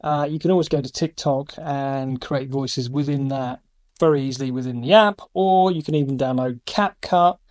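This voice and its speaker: A middle-aged man hosting a casual screen-recorded review, enthusiastic, with quick asides between demos. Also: mid-range voice, darkish tone, dry recording, clean studio sound. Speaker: YouTube host reviewing AI voice tools.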